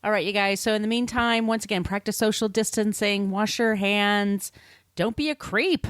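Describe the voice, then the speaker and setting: A woman's voice: medium pitch, moderate pace, no echo, studio quality. A woman co-hosting a podcast, talking casually in a friendly, informal way. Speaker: podcast co-host.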